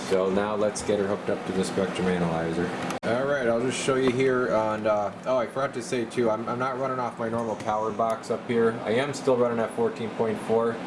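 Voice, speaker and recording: A man working as a CB radio technician, talking casually at his workbench with easygoing pauses and frequent fillers. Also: lowish voice. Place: repair shop workbench. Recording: loud steady fan hum behind.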